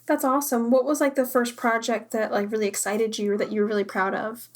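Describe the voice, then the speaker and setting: A woman's voice: on the high side, little pitch movement, quick pace. A woman interviewing a guest in a casual, upbeat way. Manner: upbeat, laughs often.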